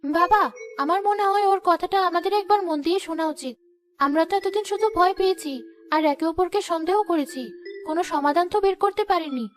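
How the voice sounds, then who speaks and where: A woman's voice: very high-pitched, normal speed, soft background music. A woman playing a village headman's reasonable young daughter in a cartoon, earnest and persuasive. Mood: earnest, reasoning. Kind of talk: cartoon voice acting.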